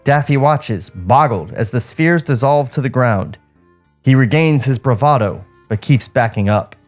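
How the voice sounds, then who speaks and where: A man's voice: medium pitch, animated, unhurried, soft background music. A man hosting a podcast, reading stage directions as comic narration and dropping in wry asides.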